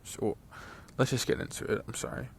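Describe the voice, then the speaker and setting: A man in his twenties casually telling a long story, rambling with many fillers and restarts. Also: fairly quick. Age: 20s. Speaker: channel host telling a story. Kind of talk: casual story time over gameplay.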